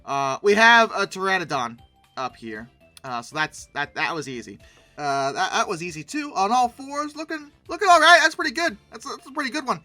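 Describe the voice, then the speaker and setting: Very bright forceful voice, animated casual commentator, mock-indignant, rambling humorous asides, dry close sound over music. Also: medium pitch, normal speed, no room sound. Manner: rambling, conversational asides to the pictures. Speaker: host reviewing old dinosaur illustrations.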